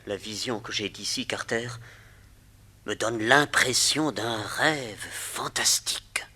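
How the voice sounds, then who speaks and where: An actor playing an excitable, doubting companion in a radio drama, nervous and incredulous, with a voice that jumps up in pitch. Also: male voice, slow, husky, heavily acted. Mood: hushed. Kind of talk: 1940s radio acting.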